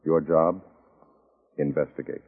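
A man's voice: extremely low pitch, steady tone, a little slow, husky, heavily acted. A man playing a grave 1950s radio drama announcer introducing a true police case in clipped, solemn phrases. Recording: muffled phone-like vintage radio, loud brass music.

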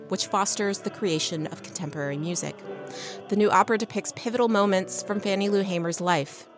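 A woman radio reporter delivers scripted narration for an arts feature. Her pitch sits in the middle range, and music plays underneath.

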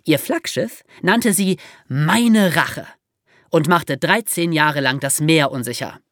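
A woman hosts and narrates history for young listeners. Her voice sits a little low and swings expressively as she explains. The sound is close and dry. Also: expressive, slow pace, dry recording. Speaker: podcast host telling history.

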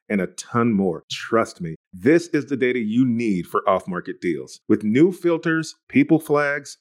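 A man reading a scripted ad with an upbeat sales pitch. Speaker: ad reader. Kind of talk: scripted ad read.

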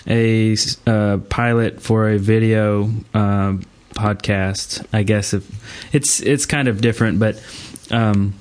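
A man hosting a podcast in casual chat, talking in a halting, rambling way. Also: lowish voice, fairly even intonation. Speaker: podcast host. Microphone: online voice call.

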